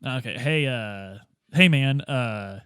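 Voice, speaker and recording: A man's voice: medium pitch, slow pace, a bit bright, clean tone, dry recording, studio quality. A man playing a tabletop role-playing game, chatting casually and improvising questions in a hesitant, joking way.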